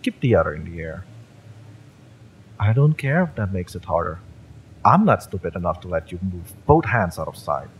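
A man playing a cocky, exasperated mercenary in a romance audio roleplay, sardonic and menacing in a deliberate, taunting way. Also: sits low, sing-song, a little hiss or hum, low-quality recording. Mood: stern, threatening. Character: fiery male mercenary, cocky, exasperated, menacing yet familiar.

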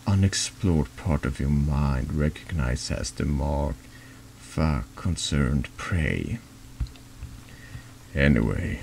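A man narrates a horror text game in an extremely low voice full of gravelly fry. He reads slowly in an ASMR style, now and then tripping over longer words. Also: darkish tone, slight background noise. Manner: halting reading, stumbles over longer words. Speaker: streamer reading a text horror game aloud. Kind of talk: slow scripted narration, soft horror ASMR.

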